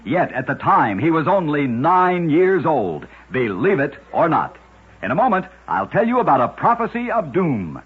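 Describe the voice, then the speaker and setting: Husky old-time radio storyteller, a man. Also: a little high, very animated, normal speed. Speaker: host narrating short radio oddity stories. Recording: dull, lo-fi old radio broadcast.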